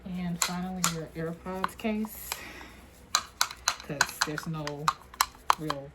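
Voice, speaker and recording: Loud noise and clicks surround a woman playing a rude female airport security agent in an ASMR roleplay. Her voice sits a little low and stays soft-spoken. She mutters with dry, suspicious sarcasm, taking her time. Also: very slow. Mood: dry, methodical.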